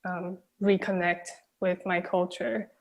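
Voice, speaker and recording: A young woman from Taiwan, a native Mandarin speaker, answering as a film director in a thoughtful, soft-spoken way with many pauses. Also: on the low side, steady tone, lo-fi sound. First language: Mandarin Chinese. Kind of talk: reflective festival Q&A answers.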